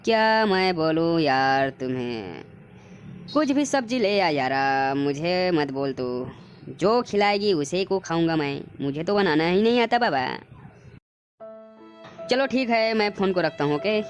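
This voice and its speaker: A man's voice: fairly high pitch, lively intonation, measured pace, lo-fi sound, soft background music. A man playing a hungry, grumbling village husband in a comic cartoon, complaining colloquially with a Chhattisgarhi accent. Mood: nagging. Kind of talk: comic cartoon dialogue in dialect.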